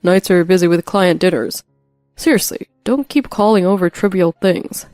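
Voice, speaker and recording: A woman's voice: fairly low pitch, animated, fairly quick, dark tone, no room sound, clean studio sound, soft background music. A woman voicing a cold, dismissive adult husband who brushes off his wife. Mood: irritated. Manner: curt, dismissive.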